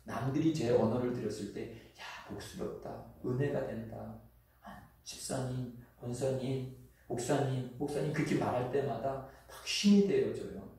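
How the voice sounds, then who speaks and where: Pastor, man, Chungcheong accent, earnest chatty preaching with slow sentence endings, distant mic, heavy hall echo. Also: mid-range voice, steady tone, mellow. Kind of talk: sermon. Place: church sanctuary. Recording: echoey church hall from far off.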